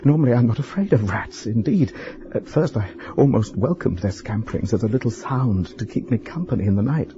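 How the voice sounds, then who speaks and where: An actor playing a frightened, educated man in a radio horror drama, speaking formally and haltingly as he writes a letter. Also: medium pitch, animated, natural voice. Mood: oppressed, nervous. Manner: formal, measured, halting delivery with weighted pauses. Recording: dull, lo-fi, noisy radio drama recording.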